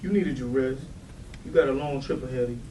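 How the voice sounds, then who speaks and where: A man's voice: medium pitch, fairly quick, dark tone, lightly acted. Man playing a weary big brother, roomy sound. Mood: subdued. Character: Tracy, weary protective older brother, quietly resolute. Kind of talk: staged play reading. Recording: roomy, distant mic, faint background noise.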